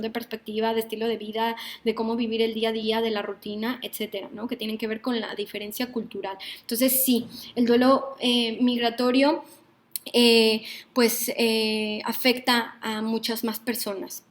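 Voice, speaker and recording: Mexican woman, workshop lecturer, thoughtful, fairly high voice, checks in with listeners as she goes. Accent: Mexican Spanish. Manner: thoughtful, frequent "¿no?" tags and hesitations.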